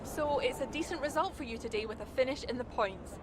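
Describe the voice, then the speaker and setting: An actress playing a brisk, probing trackside reporter asking post-race interview questions in a video game. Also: female voice, high voice, very noisy, natural voice.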